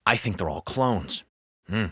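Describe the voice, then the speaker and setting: An actor playing a jaded, foul-mouthed, sardonic police officer in a video game. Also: male voice, on the low side, lively intonation, a little slow, a bit bright, husky, no room sound, clean studio sound, full character voice. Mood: wry, gossipy. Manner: gossipy, sardonic, swearing. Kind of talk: scripted video game voice acting.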